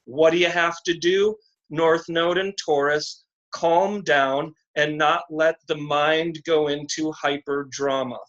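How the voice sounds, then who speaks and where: A man giving a slow, deliberate, encouraging astrology reading on a video call. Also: a little high, fairly even intonation, a bit bright. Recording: dull lo-fi video call audio.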